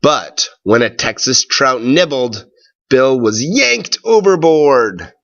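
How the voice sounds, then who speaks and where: A man reads a tall tale aloud as a storyteller, at a slow pace and in a medium-pitched voice. He phrases things deliberately and dramatically. The recording is a little dull. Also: very animated, a bit bright. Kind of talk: expressive storybook narration.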